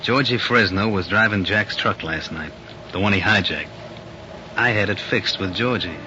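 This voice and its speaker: An actor playing a smooth, ruthless gangster in a 1940s radio crime drama, scheming with his lover. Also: on the low side, moderate pace, natural voice. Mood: confident, scheming. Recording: noisy, muffled old 1940s radio recording.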